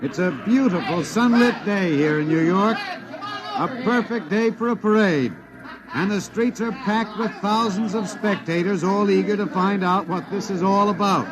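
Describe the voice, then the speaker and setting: A man's voice: high-pitched, unhurried. A man acting in an old-time radio drama as an eager live reporter, with an American accent and formal announcer delivery, a crowd audible behind him. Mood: excited, announcing. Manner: formal announcer build-up, descriptive. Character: eager on-the-spot male radio reporter at a parade. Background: outdoor crowd noise.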